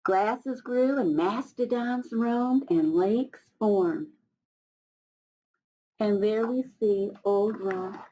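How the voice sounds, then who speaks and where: A woman's voice: mid-range voice, very slow, warm tone, rough recording. An American woman reading a picture book aloud to young students, chatting to them, explaining words and asking questions as she goes. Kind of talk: children's read-aloud with chatty asides.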